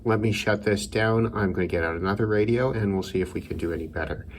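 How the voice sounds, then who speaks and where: A man with a very low voice talks through a hobby demonstration in a casual way. He takes his time and thinks aloud as he works. Clearly audible noise and static sit behind his voice in the room. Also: fast talker. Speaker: radio hobbyist presenter. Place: indoors by a window.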